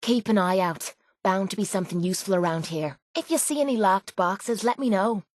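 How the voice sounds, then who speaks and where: An actress plays a tough young Irishwoman who fights for hire as a video game companion. She is blunt, cocky and sarcastic, throwing out slangy remarks in a mid-pitched voice. The sound is close and dry. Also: a little fast, darkish tone, no room sound, lightly acted.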